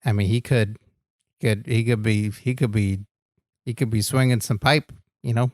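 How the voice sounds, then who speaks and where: A man's voice: on the low side, steady tone, moderate pace, no room sound, clean studio sound, natural voice. A man playing a naive, earnest wrestler sidekick on a comedy podcast, making absurd points with a straight face. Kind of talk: improvised comedy podcast chat.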